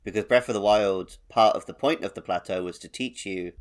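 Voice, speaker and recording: A man's voice: lowish voice, brisk. A man co-hosting a gaming podcast, talking casually in an explanatory, analytical way. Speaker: podcast co-host.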